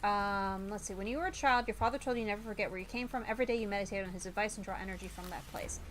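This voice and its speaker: A woman's voice: medium pitch, very fast, a little hiss or hum, poor audio. A woman doing live game stream commentary, reading on-screen text aloud and breaking into exasperated exclamations. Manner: exclaims loudly in exasperation, mutters while reading. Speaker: VTuber streamer.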